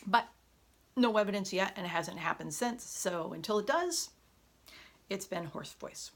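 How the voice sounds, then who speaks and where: A woman chatting in an unscripted home vlog, often pausing with fillers and correcting herself, her voice hoarse at times. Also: medium pitch, animated. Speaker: vlogger. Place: home room. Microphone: camera on a tripod.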